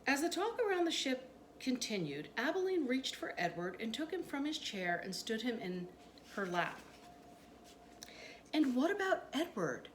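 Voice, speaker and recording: A woman narrates a children's book with lots of expression, her pitch moving up and down in a lively way. There is some background noise on the recording. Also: normal speed. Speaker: storytime reader.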